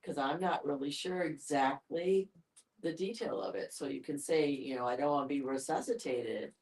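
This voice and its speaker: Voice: medium pitch, moderate pace, a little mellow. An older psychologist thinking aloud in a reflective group discussion, hedging along the way. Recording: distant microphone.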